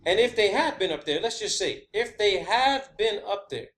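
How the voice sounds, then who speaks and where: A man's voice: high voice, animated, moderate pace, fairly bright tone. A man delivering an informal, rambling science-theory monologue, emphatic and sweary, often repeating his phrases. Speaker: online video host.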